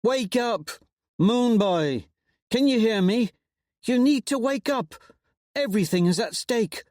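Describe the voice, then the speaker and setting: A man voicing a worried female ship captain, speaking in rushed, urgent bursts. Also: very high-pitched, lively intonation, darkish tone, dry recording, clean studio sound, voice pushed up, full character voice. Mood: pleading. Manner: rushed, pleading.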